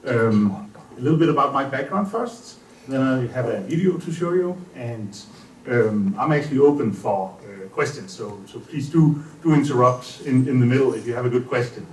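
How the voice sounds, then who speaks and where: A man's voice: mid-range voice, normal speed. A man, a startup founder speaking energetically and candidly at a conference panel. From Denmark. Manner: energetic, candid, gestures while talking. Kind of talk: casual conference talk. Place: indoor conference room. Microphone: stand microphone at a panel table. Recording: roomy hall, mic from a distance, faint hum.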